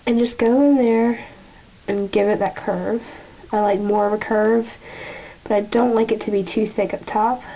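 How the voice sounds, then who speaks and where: A young woman talking through a nail tutorial in a chatty way, a little nasal from a sinus infection, often clearing her throat. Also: medium pitch, fairly even intonation, normal speed, warm tone, rough recording. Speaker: beauty vlogger. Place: small room at a table.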